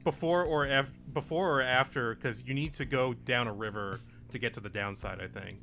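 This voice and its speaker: A man acting as game master, talking casually and haltingly as he hosts and narrates a tabletop game session. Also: medium pitch, creaky, bright, forceful tone. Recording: music playing behind the voice. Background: music.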